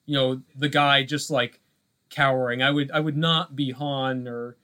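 A man co-hosting a podcast in casual chat, halting and full of filler phrases. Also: medium pitch, steady tone, sharp tone. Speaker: podcast co-host.

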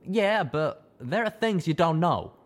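A man voicing a young male pony who speaks in a rough folksy way, strained with guilt. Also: on the high side, lively intonation, unhurried, pitched above the performer's own voice. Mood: earnest. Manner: folksy drawl, strained and emotional.

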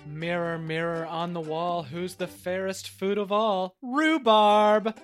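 A man's voice: high-pitched, fairly even intonation, soft background music. A man playing an earnest, grandiose small-town island mayor in an improvised audio comedy, speaking with mock-solemn sincerity. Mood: bright, announcing. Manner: halting, rambling, sincere, drawn-out words.

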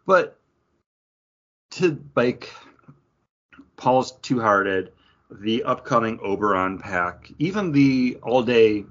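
A man's voice: mid-range voice, expressive, takes it slowly, long pauses, a bit bright. Guy cohosting a podcast, dull lofi sound, chatty review, kinda hesitant and fixes his words as he goes. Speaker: podcast co-host. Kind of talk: casual beer review chat.

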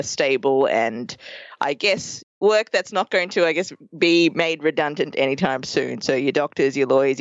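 A woman in her thirties with an Australian accent, a podcast producer chatting as a guest in a lively, bubbly way, laughing often. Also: rumbling low, dry recording, dull audio. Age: 30s. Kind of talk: casual interview chat.

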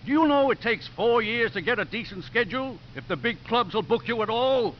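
An actor playing an earnest, sheepish elderly priest in a 1950s radio drama, with polite, formal speech. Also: male voice, very high voice, animated, fairly quick. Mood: sheepish, hopeful. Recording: muffled, lightly noisy 1950s radio recording.

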